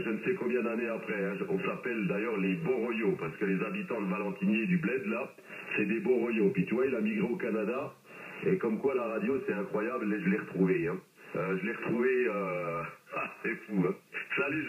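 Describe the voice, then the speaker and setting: A man's voice: fairly low pitch, moderate pace. A man from eastern France chatting casually and colloquially as an amateur radio operator, heard over shortwave reception played through speakers. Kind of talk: casual ham radio contact. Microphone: radio reception re-recorded from speakers on a phone. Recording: muffled shortwave radio, hissy, roomy playback.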